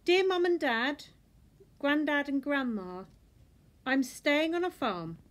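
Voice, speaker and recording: A woman's voice: high-pitched, lively intonation, slow pace, voice pushed up. A woman voicing an eager, earnest young male meerkat reading out his letters home in a storybook. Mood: chatty.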